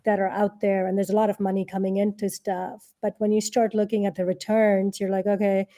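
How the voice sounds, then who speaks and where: A woman speaks as an interview guest in an informal, conversational way. Her voice is mellow and soft-edged, at an ordinary pitch for a woman. She tends to explain things by posing questions. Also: flat delivery, a little fast. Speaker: interview guest, real estate and angel investor.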